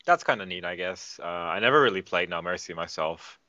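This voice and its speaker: A man's voice: low voice, animated, brisk, pushed and bright, dry recording, muffled recording. A man co-hosting a casual gaming podcast, cracking dry sarcastic jokes. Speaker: podcast co-host.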